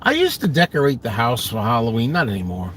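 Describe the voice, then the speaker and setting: A man's voice: medium pitch, wildly swooping pitch, quick pace, fairly bright tone, a little hiss or hum. A middle-aged man with a Brooklyn accent, chatting casually from his car and answering viewers in a rambling, self-deprecating way. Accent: New York, Brooklyn. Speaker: live stream host. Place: parked car.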